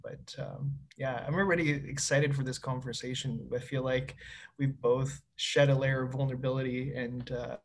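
A man's voice: medium pitch, level tone, moderate pace, slight background noise. Upbeat young man co-hosting a chat. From Winnipeg, Canada. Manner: upbeat and encouraging. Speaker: co-host. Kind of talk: casual conversation over video call.

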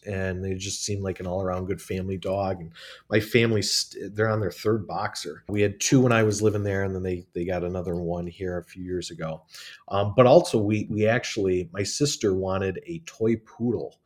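Young faculty guy, lowish voice, relaxed and talkative, fillers and chuckles. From northern New York State. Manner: friendly, rambling, with fillers and chuckles. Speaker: law school faculty member, podcast guest. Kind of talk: casual interview answers.

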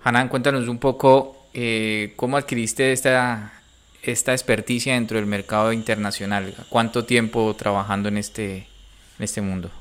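Clear-voiced male podcast host. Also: on the low side, fairly even intonation, moderate pace, a bit bright. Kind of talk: conversational podcast interview.

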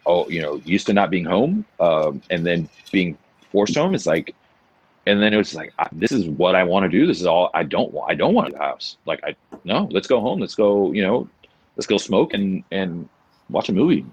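Deep-voiced man in casual conversation. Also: sing-song, moderate pace, husky. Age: 40s. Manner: informal, peppered with "man" and "you know". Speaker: podcast guest. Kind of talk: casual, rambling chat. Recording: dull lo-fi audio.

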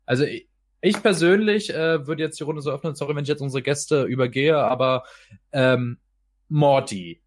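Male podcast host, casual and wordy, mid-pitched voice, dry recording. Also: moderate pace, no room sound. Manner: rambling, wordy. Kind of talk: casual talk.

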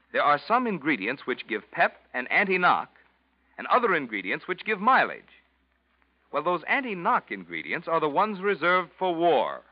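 Genial 1940s radio announcer man. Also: a little high. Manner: genial, salesy. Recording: muffled old radio broadcast.

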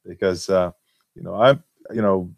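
A man's voice: sits low, normal speed, creaky. A man from Latvia with a Latvian accent, talking casually and hesitantly with many fillers and restarts. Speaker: forum member being interviewed. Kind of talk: casual conversation.

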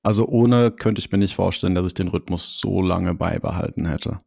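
Gravelly low-voiced man, thoughtful. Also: normal speed, darkish tone, no echo, clean studio sound. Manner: thoughtful, hedging, weighs his words. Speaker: podcast co-host. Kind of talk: casual podcast chat.

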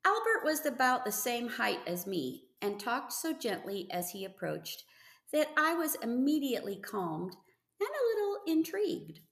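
A woman's voice: on the high side, big pitch swings, measured pace, fairly bright tone, echoey room. A woman reading a first-person story aloud as scripted audiobook narration. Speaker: audiobook reader.

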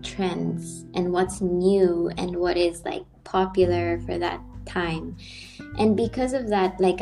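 A woman's voice: medium pitch, dark tone. A woman in her thirties from the Philippines, hosting a podcast in casual conversation and often searching for words. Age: 30s. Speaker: podcast host.

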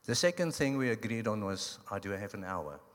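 A man's voice: fairly low pitch, a little fast, heavy background noise. A man whose first language is Afrikaans, with a South African accent, giving a joking church talk. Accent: South African English. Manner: joking, relaxed. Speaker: guest speaker at church. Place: church hall. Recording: long hall reverb.

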